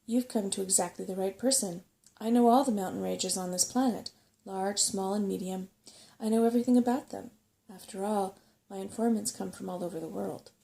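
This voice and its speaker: A woman's voice: mid-range voice, fairly quick, gravelly, mellow, lightly acted. A woman voicing a self-satisfied old male rat, a chatty and cautious know-it-all, in a bedtime story.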